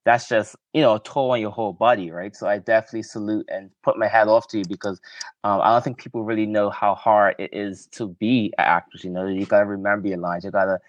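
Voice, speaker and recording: A man with a fairly low voice hosting a podcast interview, affirming his guest often. The sound is dry and close to the mic. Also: steady tone, quick pace, dry recording, dull audio. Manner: friendly, affirming, frequent "okay" and "yes", laughs often. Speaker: podcast host. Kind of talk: casual interview chat.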